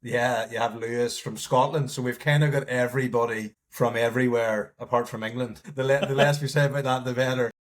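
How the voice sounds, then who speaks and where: A man's voice: mid-range voice, steady tone, brisk, hardly any pauses. A man with a Northern Irish accent talking frankly and informally as a guest in a casual interview. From north coast of Northern Ireland. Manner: informal, frank, peppered with "you know" and "like". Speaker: company partner and marketing lead, podcast guest.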